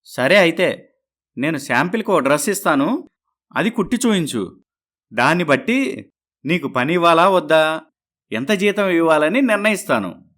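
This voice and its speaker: A man's voice: on the high side, sing-song, normal speed, pauses often, a bit bright. An actor voicing an ordinary man in a dramatised moral story. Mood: businesslike. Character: men around the heroine: doctor, boutique owner, meek husband.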